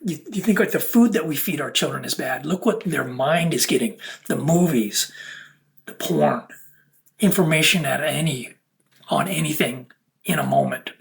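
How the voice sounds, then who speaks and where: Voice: medium pitch, animated, moderate pace, vocal fry, low-quality recording. A speaker delivering an unscripted, preachy monologue to viewers in emphatic, broken-up phrases. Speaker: content creator.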